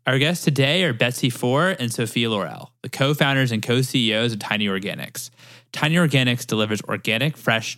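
Man hosting a business podcast. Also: mid-range voice. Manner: even, reading-style delivery. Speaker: podcast host. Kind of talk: scripted intro, then interview questions.

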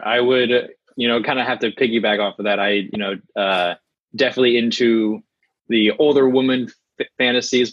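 Man, 20s, lowish voice, hesitant guest, dull dry recording. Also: fairly bright tone, no echo. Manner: hesitant, many uh fillers. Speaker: podcast guest. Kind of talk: casual chat.

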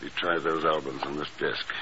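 An actor playing a cool, terse, world-weary secret agent in a 1950s radio drama, speaking dryly and haltingly. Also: male voice, a bass voice, little pitch movement. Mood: dry, impatient. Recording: muffled, noisy early-1950s radio recording.

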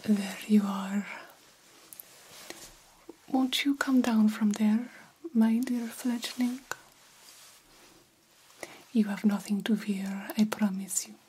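Ancient vampire doctor, played by a woman: slow, mellow ASMR whisper, formal and fussily reassuring, some hiss behind. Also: mid-range voice, steady tone, natural voice, poor audio. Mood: soothing, coaxing. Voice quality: soft breathy whisper. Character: very old vampire doctor, soothing, self-assured, fussy. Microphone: close microphone.

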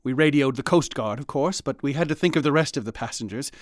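A man's voice: medium pitch, fast, dry recording, clean studio sound, close to an everyday voice. Man playing an earnest, haunted ship's doctor. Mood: grave, recounting. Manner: formal, old-fashioned, earnest. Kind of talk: radio drama acting and narration.

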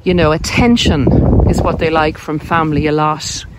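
A woman's voice: deep voice, steady tone, normal speed. A woman giving an informal, explanatory monologue as a podcast host, posing questions and answering them in a conversational way. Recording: loud vehicle and wind noise, clicks.

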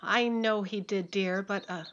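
A woman's voice: mid-range voice, fairly even intonation, measured pace, fairly bright tone, dull audio, a little hiss or hum, close to an everyday voice. A woman playing a motherly, fussy and coaxing small-town matchmaker in a radio play, speaking in a genteel way. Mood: concerned.

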